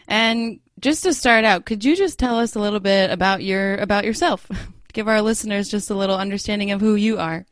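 A woman's voice: mid-range voice, a little fast, no echo. A woman hosts a podcast and welcomes her guest in mid-range tones. She is friendly and laughs often. Her voice sounds dry and close. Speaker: podcast host. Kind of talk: podcast interview hosting.

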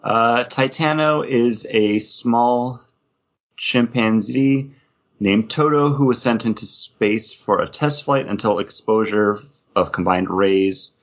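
A man's voice: medium pitch, takes it slowly. A man chatting casually about comic books as a podcast co-host. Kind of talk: casual chat reviewing comics. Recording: dull lo-fi sound.

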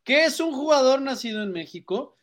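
A man's voice: extremely high pitch, expressive, fairly quick. A Mexican man hosting a football podcast, chatting casually and thinking aloud with frequent tag questions. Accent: Mexican Spanish. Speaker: podcast host. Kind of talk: sports podcast discussion.

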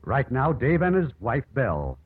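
A man's voice: medium pitch, huge pitch swings, moderate pace, breathy edge. A man hosting and narrating a 1950s radio drama in scripted style. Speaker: host and narrator. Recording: narrow old radio broadcast with music.

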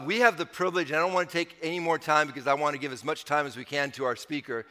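Older man, pastor and host, rambling introduction, slightly high voice, long hall reverb. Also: pushed and bright. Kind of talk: church welcome and introduction.

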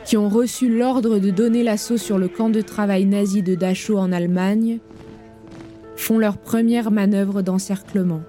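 A woman narrating an audio fiction in a sober, measured way. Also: medium pitch, fairly even intonation, warm tone, soft background music.

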